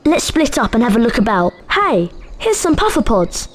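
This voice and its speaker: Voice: medium pitch, sing-song, moderate pace, fairly bright tone. A performer playing an eager, cautious, chatty young schoolboy wizard in a video game. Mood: excited curiosity. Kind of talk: video game character acting.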